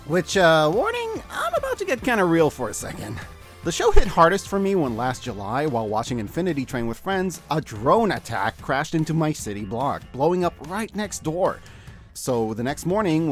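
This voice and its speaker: A man narrating a scripted review video as its host, in a casual, wry and expressive way. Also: a little high. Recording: background music under the voice. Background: background music.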